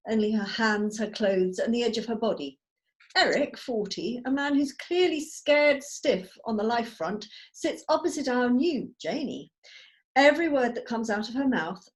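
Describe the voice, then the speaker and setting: A woman narrating scripted stage directions at a drama table read held over a video call. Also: on the high side.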